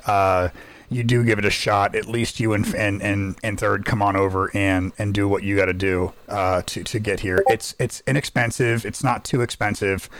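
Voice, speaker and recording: A man co-hosting a podcast in casual chat, hoarse from losing his voice, rambling with frequent restarts. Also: fairly low pitch. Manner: rambling, lots of restarts and fillers. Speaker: podcast co-host.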